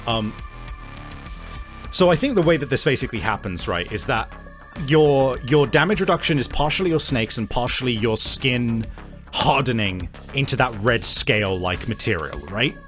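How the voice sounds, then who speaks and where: A man's voice: mid-range voice, lively intonation, normal speed, a bit bright, faint music behind. A man acting as game master, improvising tabletop game narration in a casual, sweary way.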